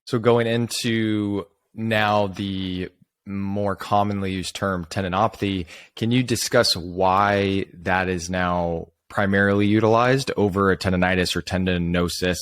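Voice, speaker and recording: A man's voice: fairly low pitch, unhurried, fairly bright tone, dry recording. A man hosting a rehab podcast, asking plain, direct interview questions. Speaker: podcast host.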